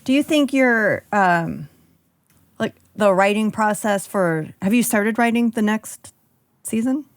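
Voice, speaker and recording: Upbeat woman host on a call, lively sing-song voice, dry close sound. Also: mid-range voice, slow, no room sound. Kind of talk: casual chat on a video call.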